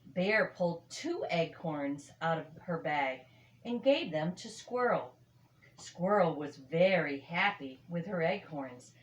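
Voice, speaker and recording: An older woman reads a children's story aloud with expressive, emphatic phrasing in an echoey room. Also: fairly low pitch. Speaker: storybook reader.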